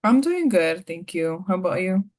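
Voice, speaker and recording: A woman playing a client in a practice therapy session over a video call, soft-spoken with many fillers. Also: lowish voice, very animated, moderate pace. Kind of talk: role-played therapy session.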